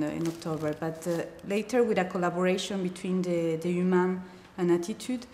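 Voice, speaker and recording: A woman artist giving an account in an interview, in halting, non-native English phrasing. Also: fairly low pitch, darkish tone. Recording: echoey room with faint noise.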